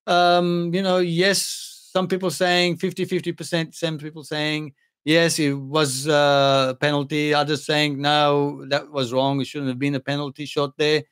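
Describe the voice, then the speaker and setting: A man's voice: fairly high pitch, level tone, a little slow, a bit bright, smooth. A man with an accent, not born in Australia but living there for over thirty years, hosting a casual livestream Q&A and answering chat questions in a rambling way with many fillers. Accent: non-native English accent. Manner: rambling, many ums and restarts. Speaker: music channel host answering chat questions.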